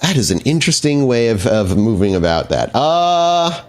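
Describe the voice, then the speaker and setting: A man's voice: medium pitch, big pitch swings, moderate pace. A man working as storyteller of a tabletop role-playing game, improvising narration and explaining the rules descriptively.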